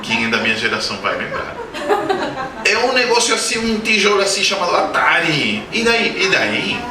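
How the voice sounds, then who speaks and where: A man's voice: high-pitched, sing-song, moderate pace, a crowd in the background. Man with a Spanish accent lecturing. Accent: Spanish-speaking accent in Portuguese. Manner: jokey anecdotes, asks the audience questions. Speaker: guest speaker at a spiritist center. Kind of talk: humorous spiritist lecture. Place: lecture hall. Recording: roomy hall, distant mic, background noise.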